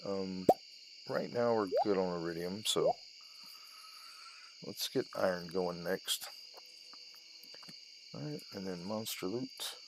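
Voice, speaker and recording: A man's voice: low voice, slow pace, long pauses, heavy background noise. A man giving casual commentary as he plays a video game, narrating his own actions in short clipped phrases. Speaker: gaming video host.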